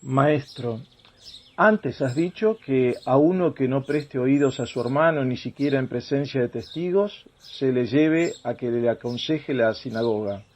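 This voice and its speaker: A man's voice: mid-range voice, unhurried, darkish tone, lightly acted. An actor playing an earnest disciple in a religious radio drama, respectfully reasoning aloud as he questions his master. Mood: earnest questioning.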